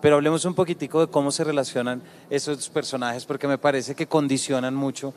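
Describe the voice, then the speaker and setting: A man's voice: mid-range voice, steady tone, fast, darkish tone, a little hiss or hum. Man moderating a book panel. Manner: prompting and time-conscious.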